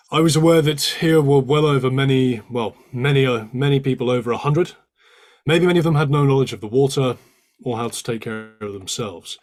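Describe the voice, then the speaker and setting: A man portrays a survivor testifying in a courtroom play. He sounds earnest and careful, a little hesitant as he recalls details. His pitch is average for a man. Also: close to an everyday voice. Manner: careful, slightly hesitant recollection. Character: earnest male disaster survivor, experienced boatman, testifying. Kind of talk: online table read of a courtroom play.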